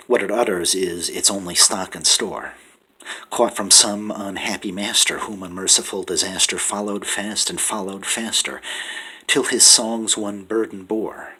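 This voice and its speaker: A deep-voiced man reads a poem aloud in a husky, breathy tone. His delivery is hushed and dramatic, sinking to whispers and then swelling with intensity. The voice has a bright, forceful edge despite its low pitch. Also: rough recording. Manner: hushed, often whispering, swelling to loud pleading. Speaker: poetry reader. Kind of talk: dramatic poetry recitation.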